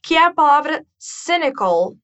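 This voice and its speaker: Bright-voiced Brazilian woman teacher. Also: high-pitched, normal speed. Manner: lively, chatty, laughs often. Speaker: English teacher, host. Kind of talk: casual bilingual chat.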